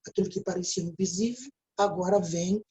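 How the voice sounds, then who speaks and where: A woman's voice: fairly low pitch, a little fast, mellow, rough recording. A woman with a Brazilian Portuguese accent gives a recorded video lecture, explaining step by step and often checking in with a tag question. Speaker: teacher.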